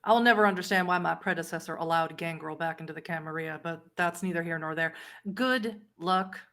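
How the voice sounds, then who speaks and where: A woman playing an imperious vampire ruler in a tabletop game, measured and dryly disdainful. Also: on the low side, fairly bright tone. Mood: dismissive. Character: imperious adult vampire prince, a cool, commanding lady.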